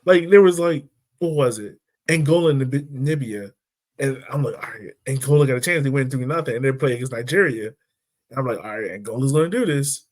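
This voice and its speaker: A man co-hosting a football podcast at a mid-range pitch, chatting loosely. He rambles, doubles back to fix what he just said, and breaks into laughs as he goes. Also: a little fast. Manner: rambling, self-correcting, laughs easily. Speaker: podcast co-host. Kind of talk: casual sports chat.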